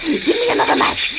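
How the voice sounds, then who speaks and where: An actor in a 1950s radio drama playing a hysterical lighthouse keeper cracking up with terror, his voice strained and shrill. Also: close to an everyday voice. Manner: panicky, pleading, repetitive, manic. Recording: noisy vintage radio recording.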